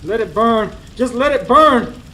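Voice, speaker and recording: A man's voice: very high voice, expressive, measured pace, bright, forceful tone, full character voice. An actor playing an earnest, weary young stable hand who narrates his own story in a radio drama. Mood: horrified. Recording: live stage recording, faint background noise.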